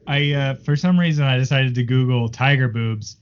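A man's voice: mid-range voice, normal speed, muffled recording. A man co-hosting a podcast, chatting casually in a wry, laid-back way.

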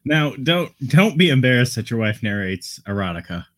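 A man's voice: mid-range voice, very animated, normal speed, fairly bright tone. A man co-hosting a casual podcast, teasing the guest and other hosts with jokes. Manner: teasing, joking. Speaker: podcast co-host.

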